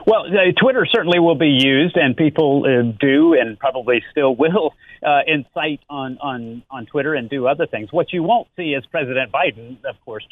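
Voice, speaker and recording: A man's voice: mid-range voice, no room sound. A man giving conversational expert commentary as an interview guest, explaining things with frequent asides over a phone connection. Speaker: interview guest, company chief executive. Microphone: remote phone-like connection. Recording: muffled, narrow phone-line sound.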